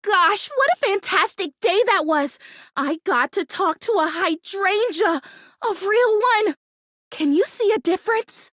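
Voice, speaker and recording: A very high, swooping female voice for an anxious plastic houseplant in a video game. She is earnest and openly emotional, full of hope and hesitation. The sound is dry and close. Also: measured pace, no room sound, full character voice. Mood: excited, hopeful. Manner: earnest, hesitant, emotionally expressive, self-interrupting. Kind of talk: video game character acting.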